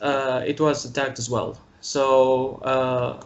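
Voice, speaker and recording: Halting young man from Syria. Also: medium pitch, flat delivery, a little hiss or hum. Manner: halting, searching for words. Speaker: interview guest. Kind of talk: casual interview. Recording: muffled lo-fi recording.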